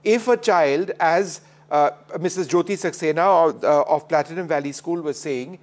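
A man hosting a studio panel debate, cutting in briskly to steer the speakers and keep time. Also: fairly high pitch. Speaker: debate show host.

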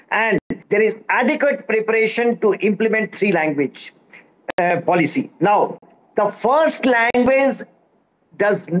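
A man's voice: high-pitched, rough recording. A man from Bihar speaks English with an Indian accent, and his first language is Magahi. He is a linguist on a television panel and explains each point insistently, cutting in to hold the floor. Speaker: linguist guest. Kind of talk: TV panel debate.

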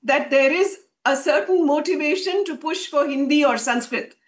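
A woman's voice: sits high, moderate pace. A woman professor on a panel, speaking emphatically and critically while explaining her argument. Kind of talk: panel debate.

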